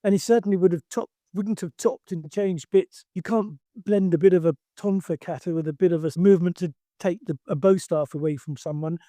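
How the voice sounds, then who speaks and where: A man's voice: high-pitched, brisk, hardly any pauses, mellow, no room sound. An older man who teaches karate and talks emphatically in a discussion, restarting his sentences often.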